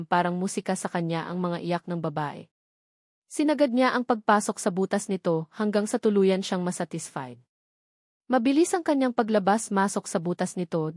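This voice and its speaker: A woman's voice: fairly low pitch, darkish tone, no room sound. Dry-sounding woman narrating an audiobook.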